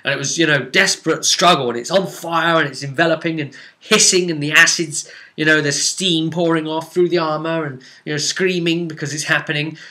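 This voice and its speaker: Bright-voiced man retelling a tabletop game. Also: fairly high pitch, fairly even intonation, a little fast. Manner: dramatic, rambling retelling with vivid action descriptions. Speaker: game master recapping a tabletop role-playing session. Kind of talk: casual animated storytelling.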